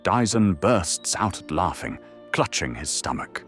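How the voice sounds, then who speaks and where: Deep, expressive male narrator. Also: a little slow. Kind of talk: dramatic scripted narration. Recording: music under the narration.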